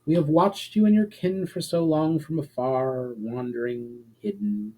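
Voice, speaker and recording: A man voicing a proud, calm female elf leader in a fantasy storybook, with stately, measured and formal speech. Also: mid-range voice, natural voice, poor audio. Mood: wistful, serene.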